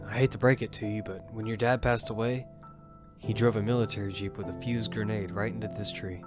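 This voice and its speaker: A battle-worn survivor tells his story in a low, grim voice, played by a man. His narration stays steady over a clear music bed. It is an amateur audio drama. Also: fairly quick, lightly acted. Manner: grim first-person narration, shouting in combat. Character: battle-hardened male survivor, steady team leader. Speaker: narrator and lead character.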